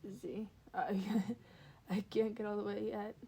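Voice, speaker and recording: A woman voicing a nervous, hesitant young male hero in a fan fiction reading, with halting, self-conscious delivery. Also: medium pitch, flat delivery, vocal fry, dark tone, slight background noise. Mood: sheepish. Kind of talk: fan fiction podfic character voice.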